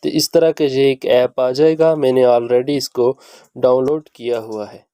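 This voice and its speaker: A man's voice: mid-range voice, fairly even intonation, a little slow, dark tone, clear, resonant tone, no room sound. A man hosting a how-to tutorial, speaking instructionally and repeating each step as he goes. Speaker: video host giving an app tutorial.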